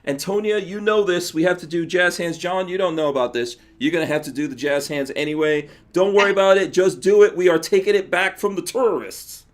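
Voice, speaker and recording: A man's voice: high voice, brisk, hardly any pauses. A man with a New York accent hosting a livestreamed podcast, chatting casually and laughing often. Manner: laughs often, loose and joking. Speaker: podcast host.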